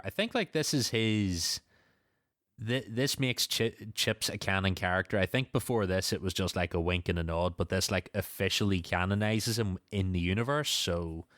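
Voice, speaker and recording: A man's voice: deep voice, normal speed, fairly bright tone, dry recording, clean studio sound. A man hosting a podcast, casually recapping and discussing a story with a co-host.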